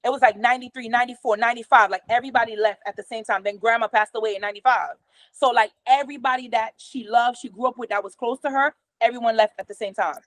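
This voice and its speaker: A woman's voice: a little high, fast talker, no echo. Middle-aged woman with a patois accent explaining. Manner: explanatory, steady, gestures a lot with her hands. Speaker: host. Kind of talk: casual conversation explaining therapy methods. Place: small room at home, indoors. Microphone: video call from a computer camera.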